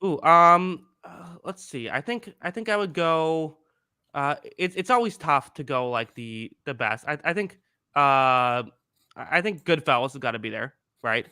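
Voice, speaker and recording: A man in his twenties hosting a podcast in casual chat, rambling with many fillers and restarts, with a higher-pitched voice. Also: animated, a little slow, bright, forceful tone, clean tone, dry recording, studio quality. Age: 20s. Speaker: podcast host.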